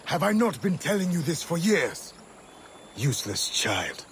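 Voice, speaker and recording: Imperious, embittered mentor, fairly high-voiced. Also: male voice, sing-song, measured pace, fairly bright tone, slight background noise, full character voice. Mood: scornful. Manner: curt, scolding, imperious. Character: stern, paranoid, embittered male mentor of assassins. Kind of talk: dramatic video game voice acting.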